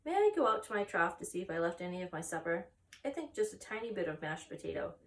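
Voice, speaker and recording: A woman voicing an innocent, anxious young male pig for a storybook, soft, pleading and childlike. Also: lowish voice, very animated, fast. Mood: timid, asking.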